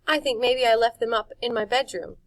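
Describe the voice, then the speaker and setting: A woman's voice: fairly high pitch, fairly even intonation, fairly quick, voice pushed up, close to an everyday voice. A woman doing a wary young boy. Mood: guarded. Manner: short, guarded replies.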